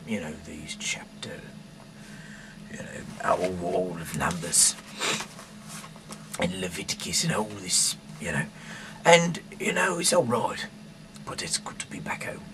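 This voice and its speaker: A man reads aloud informally, rambling in a halting, bumbling, irreverent way, in an amateur home recording. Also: low voice, lively intonation, background noise. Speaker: amateur reader of scripture, self-styled rock singer.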